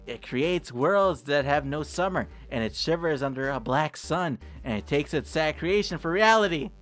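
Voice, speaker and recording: A man's voice: a little high, animated, normal speed, a bit bright. A man voicing an otherworldly being of the universe, narrating to a player in a theatrical, solemn read-along style over music. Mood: wondering. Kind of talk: dramatic read-along of a scripted dialogue. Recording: music behind the voice.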